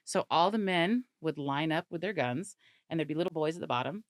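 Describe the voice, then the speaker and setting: A woman's voice: deep voice, lively intonation, a little fast, no echo, clean studio sound. A woman comedian from the California Bay Area, chatting animatedly in an interview and laughing often. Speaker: comedian guest. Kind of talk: casual interview chat.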